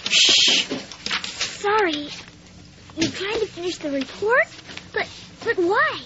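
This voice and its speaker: A woman's voice: very high voice, very animated, slow pace, heavy background noise, exaggerated voice. A woman voicing a shy, earnest schoolboy who stammers and hesitates, in a radio drama. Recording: slightly dull radio drama recording.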